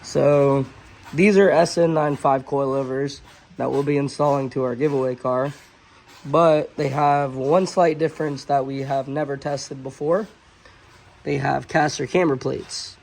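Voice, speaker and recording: A man hosting a car channel, hoarse as he loses his voice, explaining a suspension install in a relaxed, chatty way with filler pauses. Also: medium pitch. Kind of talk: casual how-to narration. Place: auto shop garage.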